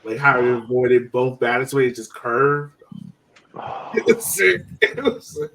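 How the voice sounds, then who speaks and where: A man with a mid-pitched voice that swings widely up and down. He chats casually about soccer as a podcast co-host. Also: sing-song, measured pace, vocal fry, a bit bright, slight background noise, low-quality recording. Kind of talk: casual chat.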